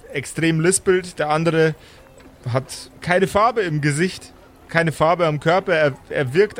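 A man narrating an improvised pen and paper story as game master, theatrical and pausing for effect. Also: on the high side, fairly bright tone.

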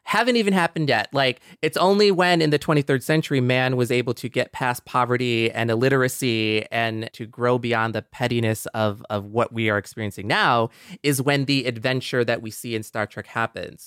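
A man's voice: medium pitch, fairly bright tone, smooth, no echo, studio quality. A man co-hosting a podcast, talking in an earnest, conversational way. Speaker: podcast co-host.

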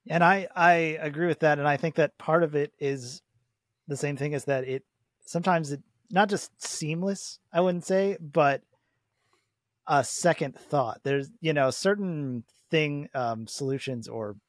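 A man talking in a relaxed, conversational way as a panelist in a casual podcast discussion. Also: on the high side, measured pace, no room sound, studio quality.

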